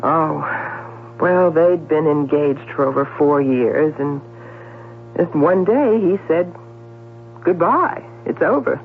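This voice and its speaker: An actress playing a brisk, self-assured newspaper columnist in 1970s radio drama, composed and theatrical in delivery. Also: female voice, low voice, animated, a little hiss or hum, full character voice. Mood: confiding. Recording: tinny, humming old radio broadcast recording.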